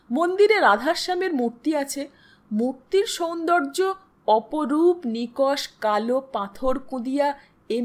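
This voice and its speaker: Woman, high-pitched, slow pace, measured formal delivery, scripted literary storytelling. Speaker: audiobook narrator.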